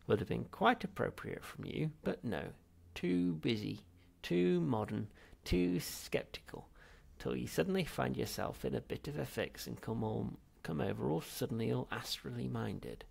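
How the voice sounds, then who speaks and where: A man voicing an ancient, querulous ghost of a great-grandfather in a storybook, screechy, rasping, scornful and scolding. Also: medium pitch, darkish tone, heavily acted. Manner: snappish, scolding, drawn-out words.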